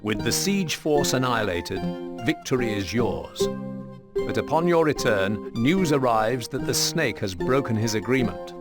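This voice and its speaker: A man playing a solemn storyteller, reading scripted game narration in a measured, grave way. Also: medium pitch, animated, natural voice. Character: solemn male storyteller narrating medieval war chronicle. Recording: loud music under the narration.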